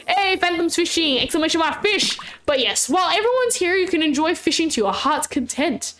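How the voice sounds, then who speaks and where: Woman, bubbly streamer chat, very animated, slight sore throat. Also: very high-pitched, brisk. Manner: bubbly, chatty, frequent squeals and laughs. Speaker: VTuber streamer. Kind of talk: casual live-stream chat.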